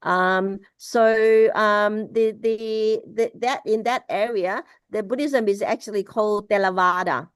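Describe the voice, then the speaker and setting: A woman from Laos giving a casual spoken Buddhist teaching over a video call, halting, with many restarts and fillers. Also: medium pitch, steady tone, clear, resonant tone, dry recording. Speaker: Buddhist speaker.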